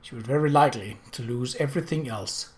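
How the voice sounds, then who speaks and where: Mid-pitched storybook narrator. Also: fast talker, a little mellow. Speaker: audiobook narrator. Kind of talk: expressive scripted story narration.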